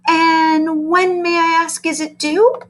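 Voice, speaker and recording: A woman's voice: extremely high pitch, level tone, slow pace, fairly bright tone, faint music behind, pitched above the performer's own voice, full character voice. A woman voicing a charming, polite male fourth grade teacher in a storybook, with a courteous manner.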